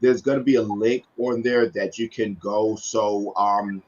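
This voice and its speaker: A man with a husky voice, a guest educator reading and talking to families in an informal way. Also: fairly low pitch, steady tone, a little slow. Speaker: guest educator and children's book reader. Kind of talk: storybook read-aloud and informal talk. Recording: dull lo-fi online stream.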